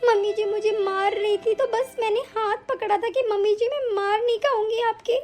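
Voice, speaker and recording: A woman's voice: very high-pitched, moderate pace. A woman playing a wronged but defiant young wife, pleading indignantly in a family melodrama. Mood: tearful, pleading. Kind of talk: domestic family melodrama acting.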